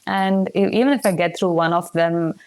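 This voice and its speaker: A woman's voice: fairly low pitch, normal speed, darkish tone, clear, resonant tone. A woman in her thirties from Chennai, India, answering interview questions fluently and telling stories with light laughs. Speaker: guest.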